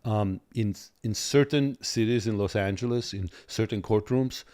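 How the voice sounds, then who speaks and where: A man's voice: on the low side, normal speed, a little mellow. A middle-aged man from Armenia with an Armenian accent, Armenian his native tongue, talking earnestly as a guest lawyer in a podcast interview and often repeating words as he starts a thought. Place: podcast studio.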